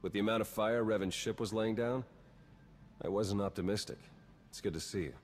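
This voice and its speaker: Man as a low-voiced wry spy. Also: fairly quick, a little hiss or hum, close to an everyday voice. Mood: dry, wry. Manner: matter-of-fact, dry. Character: wry, terse, roguish male spy agent. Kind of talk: cinematic video game acting.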